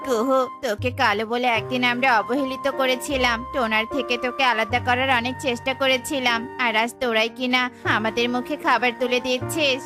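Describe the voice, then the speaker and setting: A woman's voice: on the high side, fairly even intonation, brisk, hardly any pauses, lightly acted. A woman voicing a jealous, scheming male pigeon in a cartoon bird fable, with an emphatic delivery. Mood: remorseful. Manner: conniving, emphatic. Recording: music bed behind.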